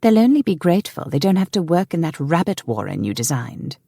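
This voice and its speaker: A woman voicing a small, composed noblewoman in a storybook, sharp-tongued and pointed. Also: fairly low pitch, big pitch swings, a little fast, creaky, breathy edge, no room sound, clean studio sound, pitched above the performer's own voice, exaggerated voice. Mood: cool, scornful. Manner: cool, pointed.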